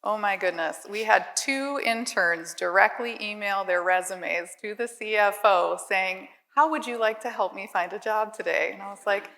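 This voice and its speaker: Bright, creaky-voiced American woman panelist. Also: medium pitch. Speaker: conference panelist, head of recruiting. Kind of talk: casual storytelling to a live audience. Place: indoor conference hall, on stage. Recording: reverberant conference hall.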